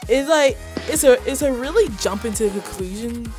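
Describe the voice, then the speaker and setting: A woman's voice: fairly high pitch, animated, a little fast, over music. A woman from Kentucky hosting a podcast, chatty and bubbly, often tripping over her words. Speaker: podcast host. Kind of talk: casual podcast chat.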